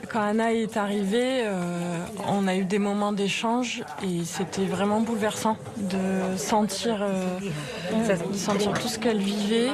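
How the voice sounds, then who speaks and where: A woman talks about a moving encounter, pausing to find her words. Her pitch is mid, and loud crowd chatter surrounds her. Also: steady tone. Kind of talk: interview testimony.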